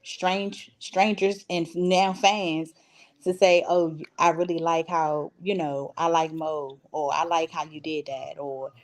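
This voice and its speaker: A woman's voice: low voice, steady tone, takes it slowly. A woman giving casual, conversational interview answers as a stage actress, telling stories by acting out what people said.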